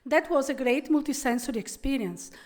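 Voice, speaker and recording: A middle-aged woman from Italy with an Italian accent, giving a conference keynote in a friendly, conversational way with frequent pauses and fillers. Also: high-pitched, darkish tone. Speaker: keynote speaker.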